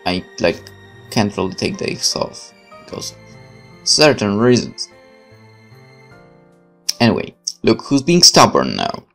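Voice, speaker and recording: A man's voice: on the low side, sing-song, slow pace, creaky, long pauses, fairly bright tone. A man hosting a let's play, switching between casual commentary and reading the game's narration aloud, with game music behind him. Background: video game music.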